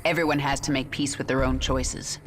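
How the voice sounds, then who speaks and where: A woman's voice: rumbling low, steady tone, a little fast. An actress playing a cold, vengeful, defiant swarm queen in a video game, curt, scornful and commanding. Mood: scornful, brooding. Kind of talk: dramatic video game acting. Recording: music behind.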